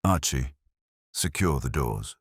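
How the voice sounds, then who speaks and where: A man voicing a pompous, deep-voiced detective. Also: lively intonation, breathy edge, no echo, clean studio sound, exaggerated voice. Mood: grudging, resigned. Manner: pompous, formal, deliberate. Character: large brilliant grumpy male detective, imperious and weary.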